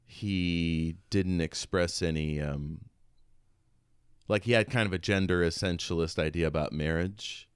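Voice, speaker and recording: Male co-host for casual book chat, low-pitched and clear, close dry sound. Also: measured pace, no room sound. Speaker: podcast co-host.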